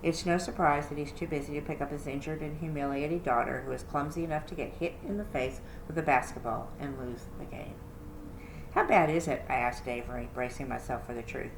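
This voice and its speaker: A woman reading a children's novel aloud in an expressive first-person storytelling style, like a librarian. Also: sits low, a little fast, creaky, few pauses. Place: indoor room, roomy sound. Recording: echoey room with faint noise.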